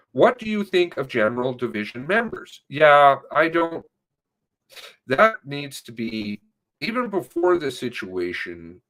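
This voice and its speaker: Slow, animated man reading aloud with emphasis. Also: mid-range voice. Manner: emphatic, stressing words. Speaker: narrator reading an interview transcript aloud. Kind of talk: read-aloud of spoken interview transcript with commentary.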